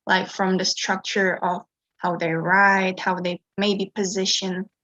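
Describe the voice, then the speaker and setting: A woman's voice: medium pitch, fairly bright tone, no room sound, dull audio. A young woman with an Indonesian accent, a student offering a hedged, tentative guess in class. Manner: tentative, hedging, laughs nervously.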